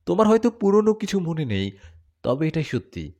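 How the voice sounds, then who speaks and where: A man's voice: on the high side, sing-song, moderate pace, darkish tone, voice pushed up. A man voicing a devoted warrior jinn husband in an audio story, speaking in an earnest, dramatic, confessional way. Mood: gentle, reassuring.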